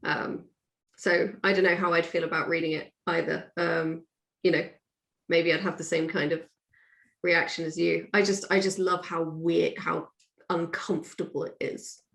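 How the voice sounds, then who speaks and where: A woman's voice: fairly low pitch, little pitch movement, normal speed, vocal fry, fairly bright tone. A woman chatting casually as a guest author, informal and a little self-deprecating. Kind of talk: casual chat. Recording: echoey room.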